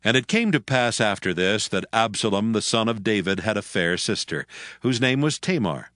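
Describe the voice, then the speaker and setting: A man's voice: on the low side, moderate pace, no echo, studio quality. Solemn man narrating scripture. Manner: steady, solemn reading.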